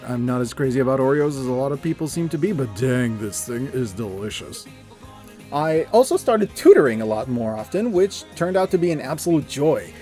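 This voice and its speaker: Male, 30s, mid-pitched. Expressive, sincere scripted vlog narration over background music. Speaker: video creator and narrator. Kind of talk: scripted personal review narration. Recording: music under the voice.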